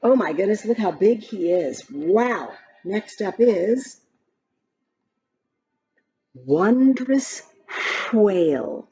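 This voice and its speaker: A woman reading a children's picture book aloud in an expressive, sing-song way, adding chatty asides about the pictures. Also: mid-range voice, extremely slow, long pauses, slightly rough. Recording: slightly dull lo-fi, music behind. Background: music.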